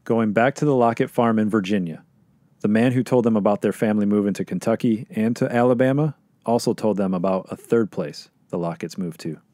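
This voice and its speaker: Calm, mellow male podcast narrator. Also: lowish voice, fairly quick, no room sound, clean studio sound. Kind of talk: scripted narration.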